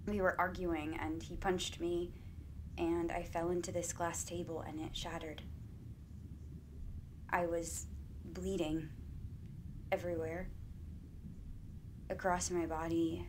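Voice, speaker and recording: An actress playing a troubled, wary sister in a stage drama, speaking in a halting, emotionally charged way. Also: female voice, on the low side, a little hiss or hum. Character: troubled adult sister of a killer, wary and defensive.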